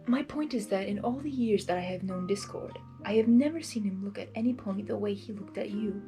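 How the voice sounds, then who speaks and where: A calm royal mare from a storybook played by a woman, soft-spoken and dignified. She talks in measured, formal phrases and probes frankly. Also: mid-range voice, soft background music, natural voice. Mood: calm, concerned. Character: calm, regal female pony princess, gently probing.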